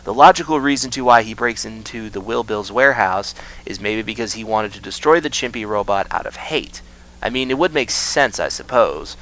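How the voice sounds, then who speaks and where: A man's voice: lowish voice, moderate pace, a bit bright, rough recording. A man narrating a scripted video essay that explains a horror series.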